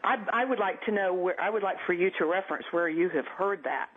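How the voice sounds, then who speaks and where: A woman's voice: on the low side, fast talker, breathy edge. A woman calling in to a radio show over a telephone line, arguing politics in an insistent, challenging way. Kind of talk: political argument.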